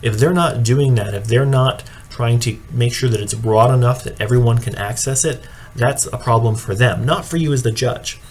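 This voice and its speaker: A man giving an instructional presentation like a coach, explaining in a measured, matter-of-fact way. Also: medium pitch. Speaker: speech and debate coach training judges. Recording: clearly audible background noise.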